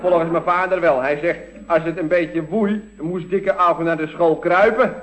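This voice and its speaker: An actor playing a cheeky young nephew in a radio comedy, needling others in a deadpan way. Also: male voice, on the high side, moderate pace, lightly acted. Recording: dull, muffled vintage radio recording.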